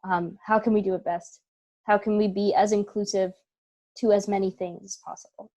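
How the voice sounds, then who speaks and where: A woman in a relaxed interview conversation over a video call, with a mid-range voice. She talks as a theater company member, thinking aloud and checking in with small rhetorical asides. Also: mid-range voice, moderate pace. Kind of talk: casual interview chat.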